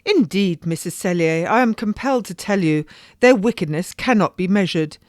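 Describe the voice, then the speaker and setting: A woman voicing an agitated genteel noblewoman who gossips and exclaims in high, theatrical bursts. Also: animated, moderate pace, no echo, clean studio sound, close to an everyday voice. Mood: horrified. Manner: rising, theatrical, emphatic outbursts.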